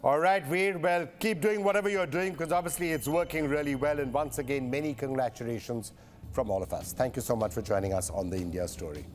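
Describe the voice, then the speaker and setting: A male news anchor putting formal, measured questions to a guest in a broadcast interview. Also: medium pitch.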